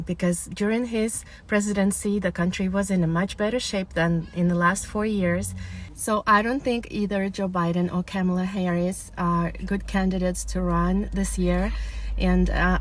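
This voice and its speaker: A woman from Kazakhstan, interviewed, talking calmly and plainly with some fillers. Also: on the low side, slight background noise. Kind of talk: casual interview.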